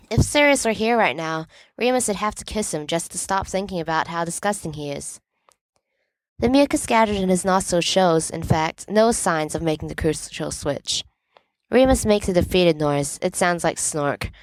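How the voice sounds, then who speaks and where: Woman, expressive story narration, lowish voice, dry close recording, wry emphasis. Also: dry recording, low-quality recording. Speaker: volunteer audiobook reader of fan fiction.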